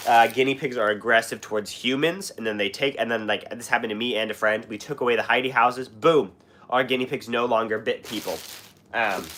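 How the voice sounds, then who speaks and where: A man's voice: mid-range voice, pushed and bright, slight background noise. A young man with a US West Coast accent chatting casually on a livestream, rambling with fillers and restarts while sick with a cough. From Washington State. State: sick, coughing and clearing throat. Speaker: livestream host. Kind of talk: casual live chat answering viewers.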